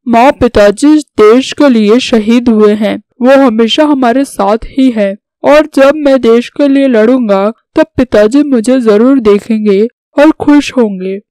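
An earnest young would-be soldier from a cartoon story , voiced by a woman. The voice sits fairly high and sincere, declaring devotion plainly in a very dry recording. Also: a little slow, few pauses, dark tone, smooth, no echo, close to an everyday voice, rough recording. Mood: earnest, resolute. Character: earnest, patriotic young man becoming a soldier. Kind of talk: scripted Hindi cartoon story dialogue.

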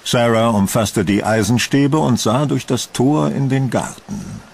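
A man narrating a scripted horror audio drama in a measured, solemn storytelling manner. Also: medium pitch, sing-song, gravelly, a little mellow, slightly rough.

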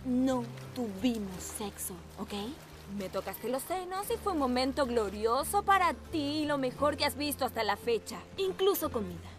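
An actress dubbing a sarcastic, quick-witted teenage girl with a dry delivery. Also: high voice, sing-song, over music. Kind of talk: Spanish film dub.

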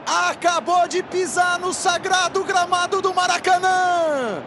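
A Brazilian man calling football goals on a broadcast, shouting excited goal calls. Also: extremely high pitch, measured pace, background noise. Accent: Brazilian Portuguese. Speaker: play-by-play commentator. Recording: broadcast over loud stadium crowd. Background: roaring stadium crowd.

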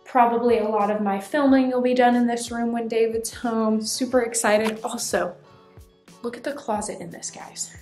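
Excited young woman in an echoey room. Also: a little high, normal speed. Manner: excited, upbeat, chatty. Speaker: vlogger. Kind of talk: apartment tour vlog. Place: empty apartment, indoors. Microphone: handheld camera at arm's length. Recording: echoey empty room with faint music.

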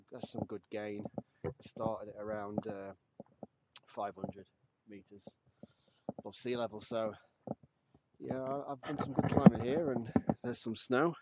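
A man in his thirties chatting casually to a handheld camera while hiking outdoors, upbeat and easygoing. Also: fairly low pitch. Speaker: hiking vlogger. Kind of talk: casual vlog narration to camera. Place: outdoors on a mountain trail. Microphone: action camera held at arm's length. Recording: clear outdoor noise behind.

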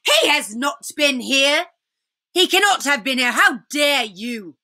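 Imperious, cruel witch queen, forceful and sharp, acted by a woman. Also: sits high, expressive, takes it slowly, pitched above the performer's own voice, heavily acted. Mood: enraged, threatening. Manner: commanding, haughty, emphatic.

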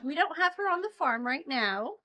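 High-voiced woman explaining a craft. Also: animated, normal speed, sharp tone. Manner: explanatory, uses simple everyday comparisons. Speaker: fiber spinning demonstrator. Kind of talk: informal hands-on craft demonstration. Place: indoor farm shop with concrete floor. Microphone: handheld camera a short distance away.

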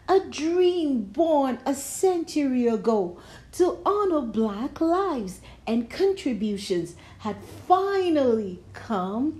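A woman reading a children's storybook aloud, measured and expressive, with dramatic emphasis on key phrases. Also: on the high side. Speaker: storybook reader.

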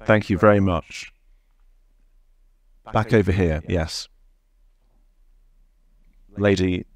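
A man's voice: deep voice, fairly even intonation, drawn-out words. A middle-aged man moderating a question session at a conference in an auditorium. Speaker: panel moderator. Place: stage in a large auditorium.

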